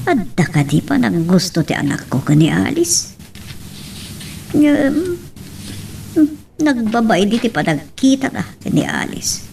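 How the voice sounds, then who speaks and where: A woman's voice: a little high, sing-song, slow, vocal fry, pauses often, a little mellow, a little hiss or hum, heavily acted. A woman with an Ilocano accent playing a middle-aged, grateful, chatty mother in an audio drama. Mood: musing. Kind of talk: Ilocano radio-style drama acting. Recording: distant microphone, music behind.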